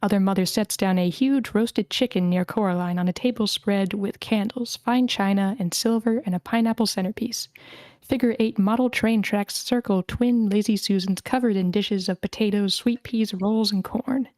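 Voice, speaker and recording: Female narrator, medium pitch, dry close sound, reading film scene descriptions. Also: fairly even intonation, normal speed, darkish tone, no echo. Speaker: stage-direction reader. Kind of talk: scripted narration at a screenplay table read.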